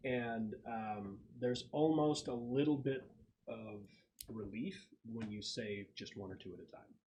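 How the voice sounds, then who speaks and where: A man's voice: medium pitch, measured pace, mellow, slight background noise. A man acting as game master, narrating a tabletop roleplaying game in a conversational, explanatory way and thinking aloud as he goes.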